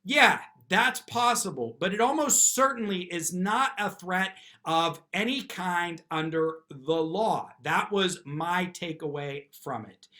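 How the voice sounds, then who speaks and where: A man's voice: high voice, bright, forceful tone. A man giving unscripted opinion commentary as a lawyer, posing questions and answering them himself, with emphatic check-in tags. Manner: emphatic, often tags points with "okay?". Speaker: lawyer commentator, video host.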